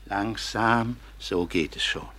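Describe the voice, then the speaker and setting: An actor in a German radio drama playing a kindly, worried householder, anxious and solicitous, full of questions. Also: male voice, on the low side, slow pace, bright, forceful tone. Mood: gentle, worried. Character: concerned, kindly householder caring for a hurt youth.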